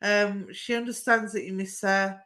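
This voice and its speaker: A woman in middle age gives live card readings over a home stream. She talks in a chatty, affectionate way and keeps calling people "my darling". Her voice sits at a middle pitch. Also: steady tone, moderate pace, pushed and bright. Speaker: psychic medium giving live readings.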